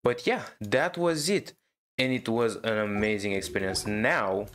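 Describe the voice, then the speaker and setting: A man giving casual, enthusiastic reaction commentary over a video game, repeating himself and drawing out his words. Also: fairly low pitch. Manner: drawn-out, repetitive, enthusiastic. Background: faint game music.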